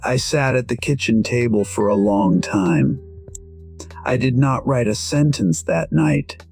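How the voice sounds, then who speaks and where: A man voicing a weary, proud musician in his sixties, narrating an audio drama in a measured, reflective way. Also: medium pitch, lively intonation, creaky, darkish tone, faint music behind. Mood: quiet regret. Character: weary, proud 62-year-old male musician, quietly regretful. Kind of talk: first-person scripted narration, audio drama.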